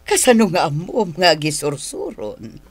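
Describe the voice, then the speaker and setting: A pious, inquisitive older auntie in a radio drama. She speaks with an Ilocano accent and lively swings of pitch, motherly and probing, in a dry studio sound. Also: normal speed, husky, dry recording, heavily acted. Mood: concerned, probing.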